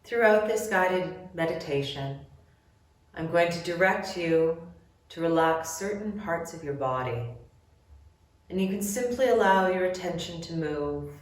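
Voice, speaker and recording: Female yoga nidra guide, very slow and gentle, medium pitch, heard at some distance in a heavily reverberant room. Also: expressive. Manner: gentle, measured instructions with long pauses. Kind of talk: guided relaxation meditation. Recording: very echoey room, distant mic.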